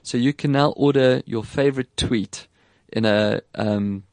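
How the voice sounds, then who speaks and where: A man with an English South African accent hosting a casual tech chat, animated and quick to put on voices when quoting people. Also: medium pitch, dry recording. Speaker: podcast host.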